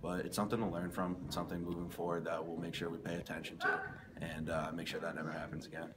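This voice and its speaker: A young man, a team captain, giving a measured postgame interview in an indoor hallway. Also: low-pitched, level tone. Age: young adult. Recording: distant mic with loud background noise.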